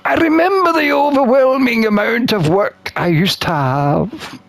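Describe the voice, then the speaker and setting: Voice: mid-range voice, a little slow, no room sound, lightly acted. A game streamer voicing a contented, wine-loving satyr herbalist who narrates a visual novel in a leisurely, dreamy way.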